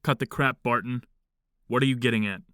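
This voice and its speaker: An actor in an audio drama playing a stern, impatient commune leader with a curt, no-nonsense manner. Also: male voice, mid-range voice, steady tone, brisk, sharp tone, clean tone, no room sound, clean studio sound, close to an everyday voice. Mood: irritated.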